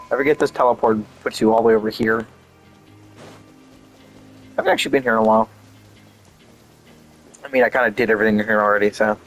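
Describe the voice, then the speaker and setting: A man's voice: mid-range voice, rough recording. A man giving casual playthrough commentary, rambling and thinking aloud as he goes. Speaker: gaming video host.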